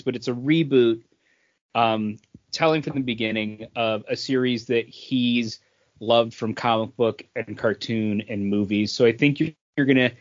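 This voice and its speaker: A man talks casually in a mid-range voice, slightly muffled and lo-fi. He sounds like an enthusiastic fan co-hosting a podcast, piling clause onto clause as he goes. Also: medium pitch. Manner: enthusiastic, wordy fan talk. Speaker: comic book podcast co-host. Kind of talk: casual chat.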